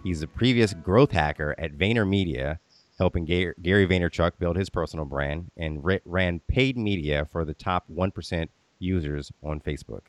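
A man's voice: low voice, normal speed, dry recording. A man hosting a podcast interview in an informal, welcoming manner. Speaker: podcast host.

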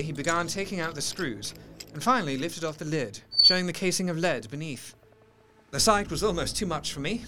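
A man's voice: on the high side, lightly acted. A man playing a proper, troubled Victorian doctor, narrating his own horror story formally and with feeling in an audio drama. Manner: formal, expressive. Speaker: narrator in character.

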